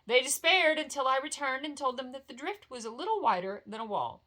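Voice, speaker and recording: Woman as a bright-voiced, cheerful male elf. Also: high voice, animated, a little fast, pitched above the performer's own voice. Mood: cheerful, teasing. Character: light-footed, airy, cheerful male elf scout.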